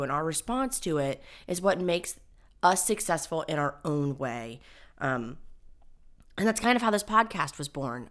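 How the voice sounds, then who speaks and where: Low raspy-voiced woman podcast host. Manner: high-strung, emphatic, self-deprecating. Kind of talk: solo podcast monologue.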